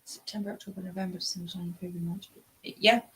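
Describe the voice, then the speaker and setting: A woman with a bright, crisp-edged voice chats casually as a book show host. She talks like a devoted fan, gushing and swearing freely. She sounds like a young adult. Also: lowish voice, flat delivery, very fast, low-quality recording. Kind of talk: casual chat about books.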